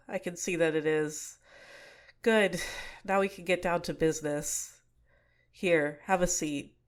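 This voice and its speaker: Soft-spoken young villainess, manipulative, close-mic ASMR; a woman voices her. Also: low-pitched. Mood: smug, scornful. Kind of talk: ASMR roleplay.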